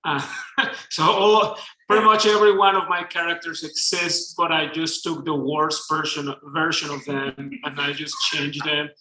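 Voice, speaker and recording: A man with a Mexican accent, an author talking casually at a book reading, halting and soft-spoken. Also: a little high, fairly even intonation. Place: bookstore event space. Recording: echoey room.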